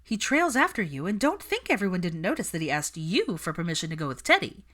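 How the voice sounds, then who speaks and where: A woman's voice: lowish voice, sing-song, quick pace, a bit bright. A woman voicing a brash, teasing young witch who pries at her friend in a smug, dramatic way, in a story reading. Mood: teasing, probing.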